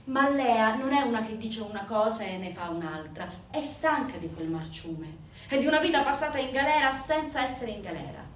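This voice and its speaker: Recorded from a distance in an echoey room with faint noise, a woman narrates a stage monologue. Her delivery is dramatic and emphatic, moving between hushed and forceful phrases with deliberate pauses. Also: on the high side, animated. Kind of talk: dramatic theatrical monologue storytelling.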